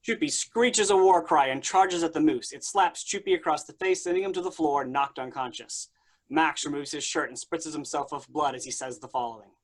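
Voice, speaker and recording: A man's voice: on the high side, moderate pace. A man reading stage directions aloud as the narrator of a staged play reading.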